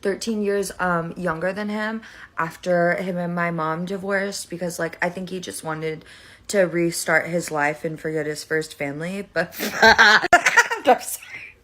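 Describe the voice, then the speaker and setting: Bright, wry woman telling personal stories. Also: lowish voice, unhurried. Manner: wry, self-mocking, chatty. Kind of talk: casual personal storytelling.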